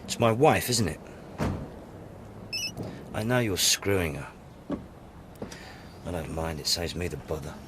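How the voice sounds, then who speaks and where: An actor playing a hard, sardonic detective inspector in a radio drama, needling and sarcastic, with a husky voice. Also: male voice, low voice, lively intonation, unhurried, pauses often, noisy background. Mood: coldly vindictive.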